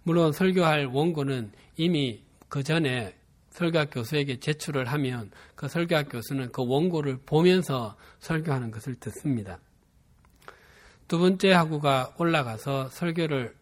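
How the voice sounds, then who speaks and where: Man, Busan accent, pastor, sermon, measured earnest storytelling. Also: mid-range voice. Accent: Busan Gyeongsang dialect. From Busan, South Korea.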